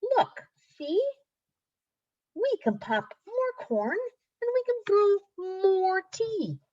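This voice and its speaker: A woman voicing a small, kindly, squeaky mouse who reassures a friend in a storybook read-aloud. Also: big pitch swings, very slow, dark tone, dry recording, highs cut off, exaggerated voice. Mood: soothing. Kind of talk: picture-book read-aloud for children.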